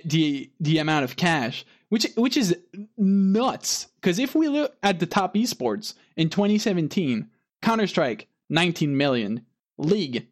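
A man co-hosting a podcast, chatting casually and rambling with lots of fillers and restarts. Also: fairly high pitch. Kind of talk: casual chat.

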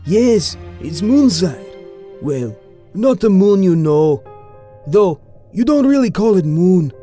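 Man doing a booming old Scottish grandfather. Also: very animated, takes it slowly, warm tone, soft background music, heavily acted. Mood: rambling. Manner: bellowing, rambling.

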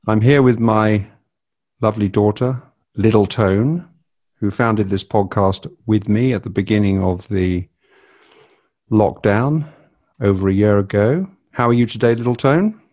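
A man's voice: on the low side, a little slow, long pauses, a little mellow. An older man hosting a casual family podcast, rambling and hesitant with many fillers. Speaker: podcast host.

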